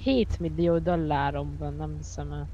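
A man's voice: fairly high pitch, little pitch movement, warm tone, highs cut off. A male player chatting casually in an online role-play game. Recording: noisy game audio with revving cars.

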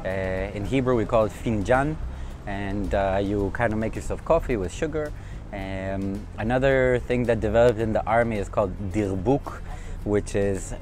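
Low-voiced man telling stories outdoors. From Israel. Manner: fluent, relaxed storytelling with frequent uh fillers. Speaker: cafe owner. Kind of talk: casual interview to camera. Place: city street outdoors.

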